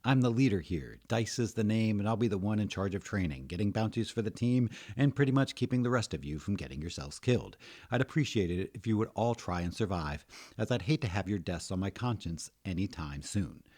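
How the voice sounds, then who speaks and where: A man voicing a grinning, reliable veteran guild leader in a fantasy story, brisk and commanding. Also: lowish voice, expressive, a little mellow, voice pushed up. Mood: jovial, commanding. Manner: brisk, barking orders.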